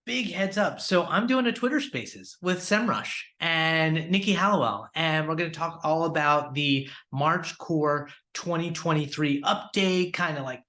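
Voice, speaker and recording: A man's voice: on the high side, expressive, measured pace, hardly any pauses, sharp tone. A man hosting a weekly industry podcast, recapping news in an upbeat, conversational way with fillers and restarts. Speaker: podcast host.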